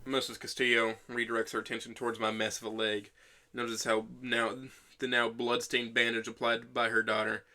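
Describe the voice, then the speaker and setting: A man voicing an injured, self-deprecating teenage boy who narrates his own story in a measured, wry, deadpan way for an audio drama. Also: mid-range voice. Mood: descriptive. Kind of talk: first-person scripted narration.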